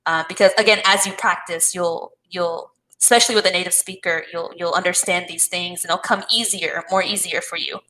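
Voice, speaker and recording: A woman tutoring English in a casual one-on-one video-call conversation, speaking gently and encouragingly with frequent affirming murmurs. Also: on the low side, steady tone, a little fast, few pauses, poor audio.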